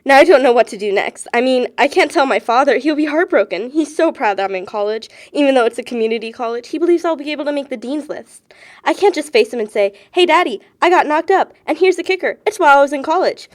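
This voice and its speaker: Female actor for earnest, pleading college-age woman, rapid delivery, slightly high voice, naturalistic film drama. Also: expressive. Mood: anguished, pleading. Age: young adult.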